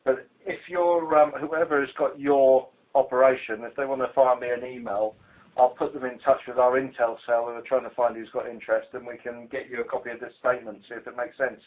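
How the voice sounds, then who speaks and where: A man's voice: mid-range voice, level tone, a little fast. A British man giving an informal work briefing as a police investigator over a telephone line, speaking in a dry, blunt, hesitant way. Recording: muffled phone conference call recording.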